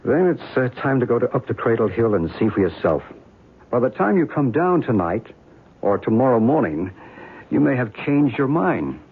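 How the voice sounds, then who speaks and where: An actor playing a calm, knowledgeable guide to ancient mysteries, speaking in a measured, explanatory way in a radio drama. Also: male voice, medium pitch, breathy edge. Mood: calm, persuasive. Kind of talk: old-time radio drama acting. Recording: tinny, hissy band-limited radio recording.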